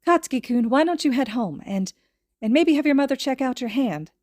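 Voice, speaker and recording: A woman's voice: high voice, lively intonation, a little mellow, dry recording, clean studio sound, voice pushed up. Woman acting a doting, flustered mother. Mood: nervous, flustered. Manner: halting and fussing.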